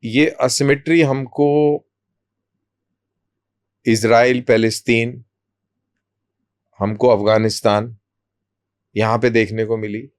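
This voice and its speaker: A man speaking as an interview guest, explaining in measured, deliberate short phrases with pauses. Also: medium pitch, fairly even intonation, smooth, no room sound, studio quality. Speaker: army colonel, interview guest.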